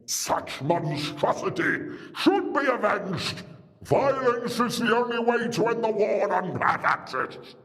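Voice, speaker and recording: High-voiced, swooping alien war lord villain. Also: male voice, animated, takes it slowly, fairly bright tone, heavily acted. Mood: defiant, vengeful. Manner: theatrical, declamatory. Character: male alien war lord, vengeful, defiant, grandiose villain. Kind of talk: sci-fi audio drama acting. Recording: long reverberant hall, music behind.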